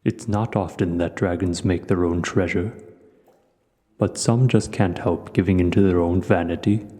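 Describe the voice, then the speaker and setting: A man voicing a proud, cultured male dragon who speaks in a measured, formal way in an audio roleplay. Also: low voice, steady tone, warm tone, lightly acted. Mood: curious, knowing. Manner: measured, formal, haughty storyteller. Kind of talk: audio roleplay, scripted monologue to the listener. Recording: long cavernous reverb.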